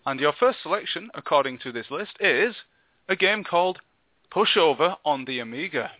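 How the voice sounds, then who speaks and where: Guy co-hosting a games podcast, bit high pitched, joking around, sounds like he's on a phone. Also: lively intonation, normal speed, no echo. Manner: jokey, bantering. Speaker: co-host interviewing a guest on a gaming show. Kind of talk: casual podcast chat. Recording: thin phone-like internet radio sound.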